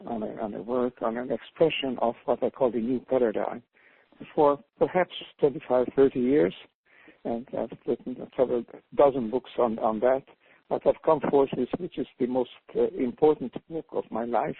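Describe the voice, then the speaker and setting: An older Hungarian man speaks English with his native accent, earnest and halting, repeating words as he builds his thoughts. His voice is husky and comes through a tinny phone line with a dry sound. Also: mid-range voice, dry recording. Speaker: panel guest, author. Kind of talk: reflective panel talk by phone. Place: calling in from home abroad.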